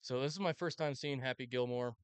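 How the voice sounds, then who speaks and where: A man's voice: mid-range voice, fairly quick, clear, resonant tone, no echo. A man co-hosting a podcast, chatting in an informal, agreeable way. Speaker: podcast co-host. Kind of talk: casual chat ranking movies.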